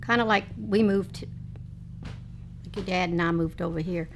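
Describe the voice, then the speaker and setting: A woman in her late seventies, reminiscing in a family oral history chat, rambling with frequent pauses and fillers. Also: fairly low pitch, steady tone, slight background noise. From Texas, United States. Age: 70s. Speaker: interviewee, mother.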